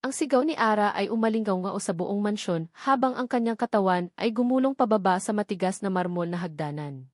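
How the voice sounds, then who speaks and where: A woman's voice: mid-range voice, darkish tone, no echo. Dramatic female audiobook narrator, dry sound. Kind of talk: dramatic scripted story narration.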